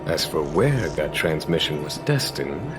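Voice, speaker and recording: An actor playing a genial, courteous general in a game, speaking in an unhurried, diplomatic way. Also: male voice, very deep voice, big pitch swings, over music, heavily acted. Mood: gracious, musing. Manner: courteous, smooth-mannered, unhurried. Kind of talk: scripted game voice acting.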